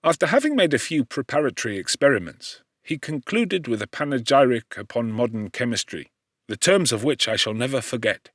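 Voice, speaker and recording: A man reading literary narration for an audiobook in a measured, formal and dramatic manner. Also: medium pitch, expressive, fairly bright tone, no room sound, clean studio sound.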